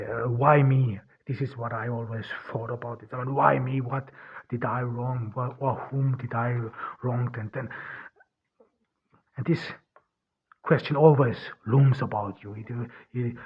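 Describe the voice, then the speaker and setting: A man whose first language is German, talking through a personal monologue as a podcast host in a halting, earnest way, restarting and searching for words. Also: medium pitch, breathy edge. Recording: dull lo-fi recording.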